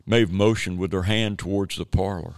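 Low-voiced man narrating a radio play. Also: steady tone, normal speed, smooth, no room sound, clean studio sound.

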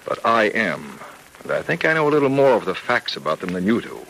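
Radio serial: reassuring, firm male physician, low-voiced with lively inflection, professional and persuasive, on a vintage recording. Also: a little fast, gravelly, a little hiss or hum, exaggerated voice, low-quality recording. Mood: earnest, insistent. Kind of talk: old-time radio serial drama acting.

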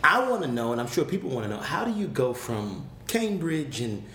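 Eager podcast host, roomy sound. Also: male voice, mid-range voice, sing-song, a little fast. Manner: warm, eager prompting, frequent affirmations. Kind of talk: interview. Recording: roomy with faint background noise.

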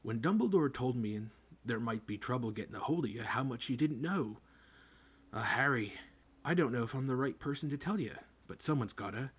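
A man reading an audiobook, voicing a big rough-spoken groundskeeper who talks in homely, clipped speech. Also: medium pitch, animated, fairly quick. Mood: worried storytelling. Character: big rough-spoken male groundskeeper, gruff but kind. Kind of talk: audiobook character voice.

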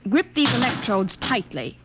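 An actress playing a cool, repressed professor of the future in a classic radio drama, speaking in a clipped, formal, clinical way. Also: female voice, a little high, very animated, moderate pace, very noisy. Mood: coolly clinical.

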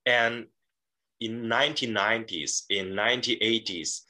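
A man, answering interview questions in an earnest, measured way. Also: fairly low pitch, fairly even intonation, pushed and bright. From Russia. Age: 30s. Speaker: guest.

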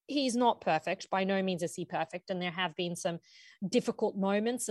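A woman from Ukraine giving an articulate, measured explanatory answer as an interviewed analyst, stressing her key points. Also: fairly low pitch, darkish tone, dry recording. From born in Ukraine.

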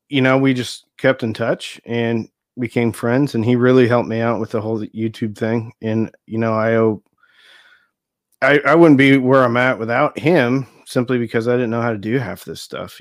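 A man's voice: medium pitch, dry recording, clean studio sound. A man in his forties chatting casually as a guest, joking and self-deprecating as he tells stories. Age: 40s. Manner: jokey, self-deprecating storyteller. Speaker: youtube channel host, guest. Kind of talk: casual chat.

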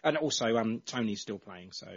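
A man's voice: fairly low pitch, animated, moderate pace. A man hosting a podcast in casual chat, rambling and hesitant as he thinks aloud. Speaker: podcast host. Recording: dull lo-fi.

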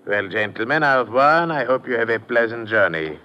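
An actor playing a suave, sly foreign gentleman, formal and overly courteous, in an old-time radio drama. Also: medium pitch, lively intonation, brisk. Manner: polite, smooth-talking, formal. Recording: muffled, noisy vintage radio recording.

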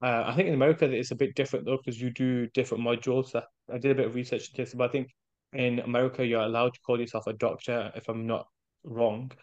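A British man chatting casually as a podcast guest, telling animated anecdotes and acting out bits of dialogue in a chatty way. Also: medium pitch, quick pace, dull audio. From United Kingdom. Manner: chatty, animated storytelling with self-quoted dialogue.

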